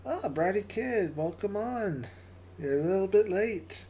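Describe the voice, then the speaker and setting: A man with a raspy, hoarse voice from days of coughing, talking in a low, mellow tone. He speaks slowly and haltingly, stumbling over and repeating his words as he hosts a casual live stream. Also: lively intonation, a little hiss or hum. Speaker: livestream host. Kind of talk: casual live-stream chat with a closing prayer.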